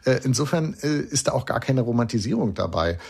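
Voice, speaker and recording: A man reviewing films in a radio conversation, retelling plots in a lively way with many fillers. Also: medium pitch, a little fast, creaky, husky. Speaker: film critic.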